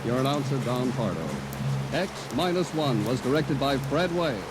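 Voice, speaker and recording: A man as radio announcer, reading credits in a formal, measured style. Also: mid-range voice, animated, over loud music, rough recording. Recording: old radio transcription under loud rain noise.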